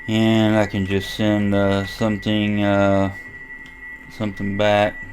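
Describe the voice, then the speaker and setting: An American man giving a casual, unscripted software walkthrough as a hobby vlogger, halting as he thinks aloud. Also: on the low side, completely flat delivery, noisy background. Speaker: amateur radio vlogger demonstrating software. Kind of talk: casual unscripted screen tutorial.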